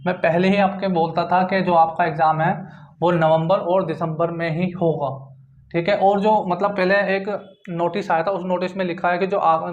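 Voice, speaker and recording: A man with a high voice talks viewers through exam updates in an informal explainer style. He repeats himself and keeps checking that listeners follow. The sound is dull and echoes around the room. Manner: repetitive, punctuated with check-in tags. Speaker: exam-news presenter for job aspirants. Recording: dull lo-fi, roomy echo.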